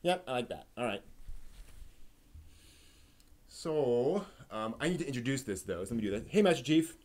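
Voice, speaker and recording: Male streamer, medium pitch, casual and off-the-cuff, halting as he thinks aloud. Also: animated, a little mellow. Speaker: live stream host. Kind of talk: casual, improvised live stream chat.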